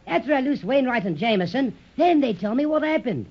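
An actor playing an excitable, muddled sailor in a 1930s radio serial, husky and full of garbled sea slang. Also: male voice, very high-pitched, brisk, heavily acted. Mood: excited, urgent. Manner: garbled nautical slang, breathless urgency. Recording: muffled vintage 1930s radio recording.

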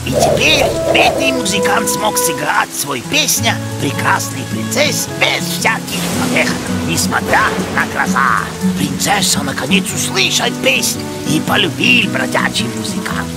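Give an excitable cartoon inventor man with a German accent and a creaky edge. He tells things theatrically in broken grammar, with lively pitch, over prominent music. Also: a little slow, hardly any pauses. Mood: excited, storytelling. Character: eccentric excitable male cartoon inventor with foreign speech. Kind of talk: animated cartoon storytelling.

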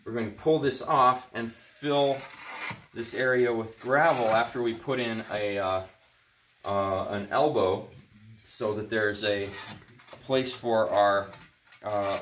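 A man's voice: on the low side, fairly even intonation, slow pace, a bit bright, slight background noise. A middle-aged man explaining hands-on as he takes apart a cob heater indoors, rambling and full of ums and uhs. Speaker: natural builder demonstrating a heater. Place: indoors beside a cob heater in a barn workshop. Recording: roomy indoor echo.

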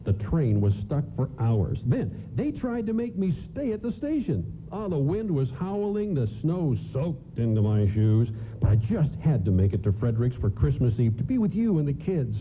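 A man's voice: fairly high pitch, big pitch swings, moderate pace, hardly any pauses. An actor playing a frazzled, grateful father and husband, earnest and hesitant, in a radio play. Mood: relieved, emotional. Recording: narrow, noisy AM radio broadcast.

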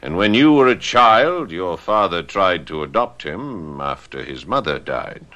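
A scheming middle-aged landowner in a radio drama. His low voice is forceful and moves widely in pitch, with a falsely cordial manner. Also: big pitch swings, measured pace, lo-fi sound, heavily acted.

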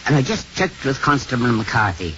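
An actor playing a sentimental, fretful but kindly elderly priest, halting and repeating himself in 1950s radio drama style. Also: male voice, medium pitch, sing-song, sharp tone. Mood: tender, happy. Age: older. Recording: muffled, noisy 1950s radio recording.

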